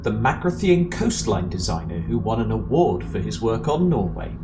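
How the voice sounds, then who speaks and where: Guy doing a wry sci-fi narrator over music, deadpan script read but swoops around a lot. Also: medium pitch, low-quality recording. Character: wry storybook narrator of comic science fiction. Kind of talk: scripted narration, amateur audio drama. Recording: clear music behind narration.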